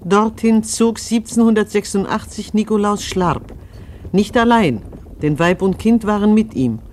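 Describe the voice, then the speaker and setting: A man playing a solemn chronicler, reciting a family history in measured scriptural style for a radio play. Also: high voice, a little mellow, slight background noise.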